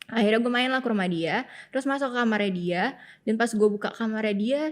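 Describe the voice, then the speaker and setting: A young woman with a Jakarta Indonesian accent, telling personal stories in a casual, colloquial, animated way as a podcast co-host. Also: on the high side, fairly quick. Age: young adult. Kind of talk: casual chat, personal storytelling.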